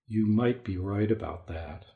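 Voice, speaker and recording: A man playing a weary, kingly sun god and gentle father in an audio drama, speaking slowly, tired and solemn. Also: lowish voice, vocal fry, phone-quality sound. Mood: weary, resolved. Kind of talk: dramatic reading like a radio play.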